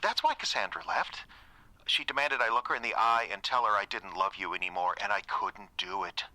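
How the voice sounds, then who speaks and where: An unstable, pleading ex-boyfriend, wildly expressive. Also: male voice, lowish voice, a little fast, dry recording, poor audio. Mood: desperate, apologetic. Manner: emotional, swinging from wheedling to accusing. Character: unstable, pleading, self-pitying male ex-boyfriend. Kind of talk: audio drama acting.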